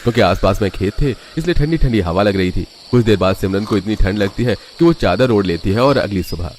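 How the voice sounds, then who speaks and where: A man's voice: lowish voice, animated, brisk, a little mellow, slight background noise. A man reading scripted narration for a cartoon family story as the storyteller.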